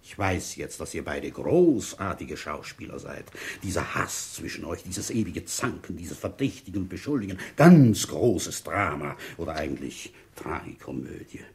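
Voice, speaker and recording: Radio-play police inspector, shrewd and brooding, deep voice, widely varied intonation, wry. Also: male voice, big pitch swings, normal speed, vocal fry, hardly any pauses, darkish tone, breathy edge, full character voice. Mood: coolly accusing. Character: shrewd, wry village police inspector telling his case. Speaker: narrator and lead actor. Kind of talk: radio play, first-person detective narration.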